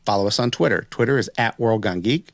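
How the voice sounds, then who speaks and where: A man's voice: fairly low pitch, fast talker, dry recording, clean studio sound. A man hosting a podcast, chatting casually in an enthusiastic, rambling way. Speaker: podcast host.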